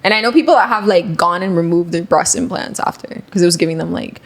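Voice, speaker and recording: Chatty young woman with a low, gravelly voice. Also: fast. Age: 20s. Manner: chatty, heavy filler "like", frequent laughs. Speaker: podcast guest. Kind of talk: casual chat. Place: podcast studio. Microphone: studio dynamic mic.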